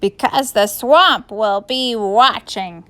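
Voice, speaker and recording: A woman's voice: a little high, slow pace, a bit bright, smooth. A woman voicing a stern, witchy substitute teacher with a scratchy, commanding, menacing delivery for a children's storybook. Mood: sly menace. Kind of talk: children's storybook read-aloud.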